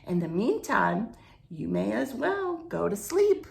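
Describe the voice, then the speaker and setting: Woman as a wise old beetle teacher, animated. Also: mid-range voice, slow pace, creaky, heavily acted. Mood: patient, instructive. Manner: instructive, reassuring.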